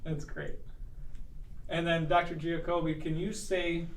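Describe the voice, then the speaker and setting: A man's voice: on the high side, level tone, slow, slight background noise. Distant man prompting from off-mic. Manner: light, laughing prompts. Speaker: interviewer. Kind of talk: off-camera prompts. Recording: roomy, distant, faint ambience.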